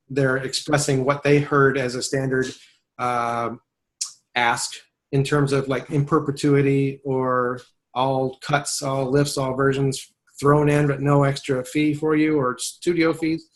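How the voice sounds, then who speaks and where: A man's voice: medium pitch, flat delivery, takes it slowly. A man working as a voiceover agent, talking in a casual webinar conversation, thoughtful and rambling, often restarting his sentences.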